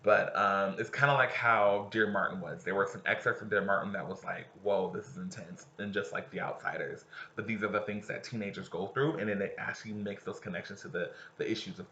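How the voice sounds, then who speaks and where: A man's voice: on the low side, a little fast, hardly any pauses, sharp tone, a little hiss or hum. A man teaching casually, talking straight to his students while reading a novel aloud. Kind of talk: read-aloud lesson with discussion. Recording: roomy, slightly dull recording.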